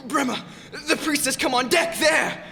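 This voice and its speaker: Radio play deckhand, male actor: scared, restless, sharp creaky voice, music behind. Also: very high voice, moderate pace, full character voice. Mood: anxious. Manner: anxious archaic exclamations. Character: frightened, pleading, mutinous sailor. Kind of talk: old-time radio drama acting. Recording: reverberant hall, music behind.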